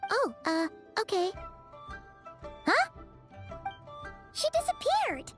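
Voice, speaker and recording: A woman voicing a tiny, childlike, excitable fairy companion in a video game, squeaky and chatty. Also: drawn-out words, faint music behind, full character voice. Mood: flustered. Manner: refers to self in third person, exclamatory.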